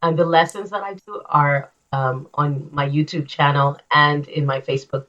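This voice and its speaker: Energetic woman coach, slightly lo-fi. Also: sits low, moderate pace. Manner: energetic, action-focused, animated when acting out scenes. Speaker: English coach, guest. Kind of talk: casual interview talk. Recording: slightly dull, lo-fi sound.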